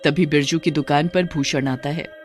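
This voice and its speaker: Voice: mid-range voice, fairly even intonation, moderate pace. A narrator reading a scripted cartoon story in a storytelling style. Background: background music.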